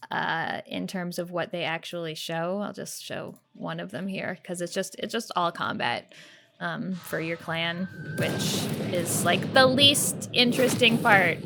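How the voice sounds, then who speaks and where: A woman's voice: on the low side, fairly bright tone. A woman giving casual reaction commentary as a video creator, speaking in a candid, informal, unimpressed way.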